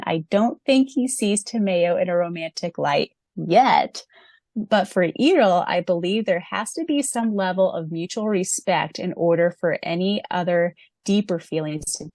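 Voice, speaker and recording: A woman's voice: fairly low pitch, darkish tone, no echo. A woman co-hosting a podcast, gushing and reading fan answers aloud between her own theories. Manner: bubbly, gushing, theorizing eagerly. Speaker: podcast co-host.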